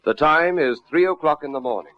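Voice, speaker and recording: A man's voice: on the high side, brisk, slight background noise. A man narrating a radio drama in a grave, formal, dramatic vintage style. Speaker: announcer and narrator. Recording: dull old radio recording. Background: faint music.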